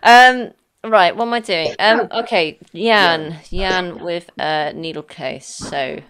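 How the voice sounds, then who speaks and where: A woman's voice: fairly low pitch, slow, crowd noise. A woman hosting an online music session on a video call, friendly and a little scattered, with laughing asides. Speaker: session host. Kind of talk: casual online session chat. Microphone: computer mic on a video call.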